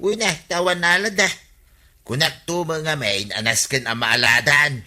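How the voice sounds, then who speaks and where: Naggy exasperated ilocano pal in radio comedy, really piercing bright voice, a bit high. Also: male voice, normal speed. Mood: insistent. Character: nagging, exasperated male village buddy of the captain.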